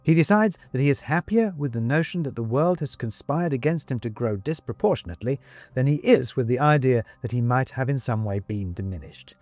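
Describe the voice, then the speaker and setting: A man narrating a scripted story as an audiobook reader, with wry, deliberate, emphatic phrasing. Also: medium pitch, animated, darkish tone, no room sound, faint music behind.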